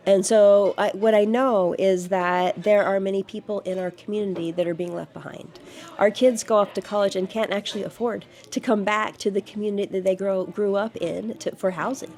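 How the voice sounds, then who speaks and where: A woman's voice: mid-range voice, fairly even intonation, moderate pace, darkish tone. A woman in her fifties from the United States answering interview questions as a city council candidate, earnest, with frequent fillers and restarts. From United States, grew up in Georgia.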